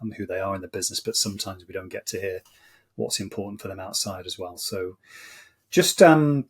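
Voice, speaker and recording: Male interview host, low-ish voice, measured and courteous delivery. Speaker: podcast host. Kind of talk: interview hosting.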